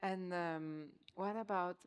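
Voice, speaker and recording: A woman's voice: fairly low pitch, steady tone, takes it slowly, clean tone. A woman acting as curator and interviewer at a public talk, carefully searching for her words. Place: museum exhibition space.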